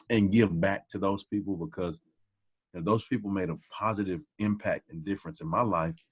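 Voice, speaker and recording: A man's voice: deep voice, breathy edge. A man in his forties, a teacher and podcast guest, talking in casual conversation, humble and friendly, calling people "man" often. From Texas.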